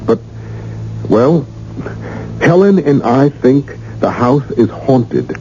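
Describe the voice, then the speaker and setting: A man's voice: medium pitch, expressive, slow pace, warm tone. A man playing a nervous, earnest young husband in a radio drama, speaking hesitantly. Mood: nervous, troubled. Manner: hesitant, stammering under strain. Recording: dull, hissy old radio recording.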